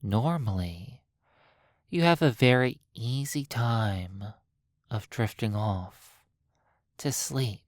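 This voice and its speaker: A hypnotist guides a sleep session at an extremely slow pace. The delivery is soothing and broken by long pauses. The recording is dry, with very little room sound. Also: medium pitch, animated, no echo. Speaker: hypnotist narrator.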